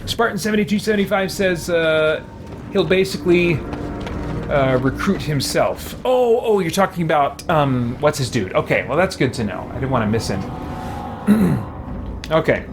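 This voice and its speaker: A man's voice: a little high, animated, moderate pace, noisy background. A middle-aged man hosting a game live stream, chatting casually and thinking aloud in an easygoing, rambling way.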